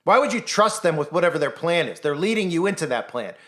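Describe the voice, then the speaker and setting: Insistent man with a raised pitch. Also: sing-song, quick pace, a bit bright. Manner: insistent, rhetorical, acts out imagined voices. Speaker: commentary channel host. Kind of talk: conspiracy commentary monologue.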